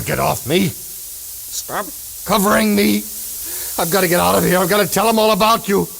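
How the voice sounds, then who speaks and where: An actor playing a headstrong, curious man in a vintage American radio horror drama, gravelly and melodramatic, on a hissy old recording. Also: high voice, heavily acted, poor audio. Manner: slow, intense, melodramatic delivery. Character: headstrong man called Doc, curious, then gripped by terror. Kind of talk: 1930s-40s American radio horror acting.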